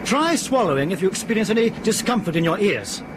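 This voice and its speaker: An actor playing a commanding British airliner captain in a radio drama, clipped and procedural in an emergency. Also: male voice, high voice, sing-song, fairly quick, noisy background. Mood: reassuring.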